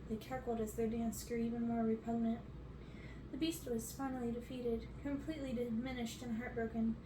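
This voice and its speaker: A woman's voice: a little high, level tone, moderate pace. Young woman reading aloud, distant and roomy. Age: young adult. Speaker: reader on an ASMR channel. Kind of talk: ASMR storybook reading, scripted narration. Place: bedroom, indoors. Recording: roomy, noisy, distant microphone.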